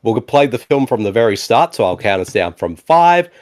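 A man hosts a laid-back movie commentary podcast, his voice rising and falling a lot as he talks. He sounds animated and chatty, and he keeps coming back to short replies like "exactly" and "indeed". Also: medium pitch, normal speed. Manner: animated, laughs often, repeats "exactly" and "indeed". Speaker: podcast host. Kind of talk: casual movie commentary chat.

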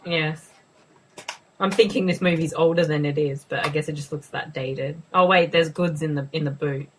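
A woman's voice: low-pitched, fairly even intonation, normal speed, slight background noise, poor audio. A young woman casually co-hosting a movie commentary, joking, sarcastic and swearing freely. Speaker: co-host.

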